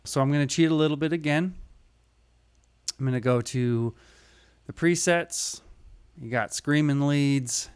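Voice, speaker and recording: A man's voice: on the high side, unhurried, pauses often, smooth. A man narrating a casual how-to tutorial, explaining things step by step in an informal way. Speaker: audio tutorial presenter.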